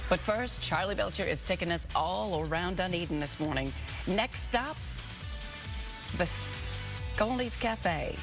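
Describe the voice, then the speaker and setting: A woman's voice: fairly low pitch, measured pace, long pauses, over music. A woman working as a TV news anchor, presenting on a morning show.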